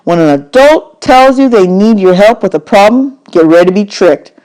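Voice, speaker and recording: A woman reading a children's novel aloud as an expressive audiobook, with deliberate, emphatic storytelling. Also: mid-range voice, poor audio.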